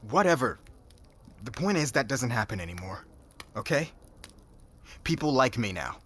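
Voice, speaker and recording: A man's voice: medium pitch, very animated, normal speed, a bit bright, a little hiss or hum. A man playing a cocky, defensive young warrior who talks in casual slang and stammers a little in an audio roleplay. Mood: defiant. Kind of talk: ASMR audio roleplay drama.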